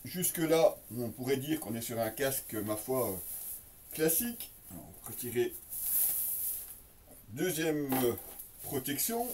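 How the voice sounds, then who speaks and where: A man's voice: mid-range voice, big pitch swings, unhurried, pauses often, darkish tone, distant mic, a little hiss or hum. A man casually presenting an unboxing, explaining as he goes in an improvised, hesitant way and speaking directly to viewers. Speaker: product reviewer and presenter.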